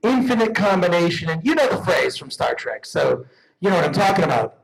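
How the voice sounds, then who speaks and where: A man's voice: sits high, big pitch swings, brisk, vocal fry. A man presenting awards live on stage in a jokey, profane, emphatic comedic manner. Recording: noisy live event, clicks.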